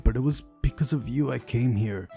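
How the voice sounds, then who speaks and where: A man's voice: mid-range voice, brisk, vocal fry, dark tone, lightly acted. A man playing an exasperated young visual novel hero, reproachful and full of indignant questions, over music.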